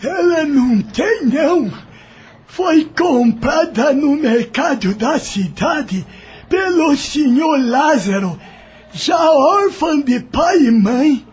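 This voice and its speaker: Homem interpretando escravo humilde, de voz agudíssima. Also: male voice, very high voice, animated, takes it slowly, a little mellow, full character voice. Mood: sorrowful. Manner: rustic, deferential speech. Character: humble, fearful enslaved man, deferential to his mistress. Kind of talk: audio drama acting.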